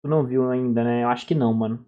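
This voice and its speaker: A man's voice: medium pitch, fairly even intonation, racing, highs cut off. A man with a Brazilian accent reacting casually, in an informal, slangy way, often repeating himself. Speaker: reaction streamer. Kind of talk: casual live reaction chat.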